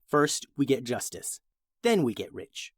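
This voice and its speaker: A man's voice: medium pitch, very animated, unhurried, dry recording. A steely young woman in command of a space crew, as a male storyteller reads her. She speaks steadily and grimly, giving orders in a children's adventure tale. The recording is dry and close.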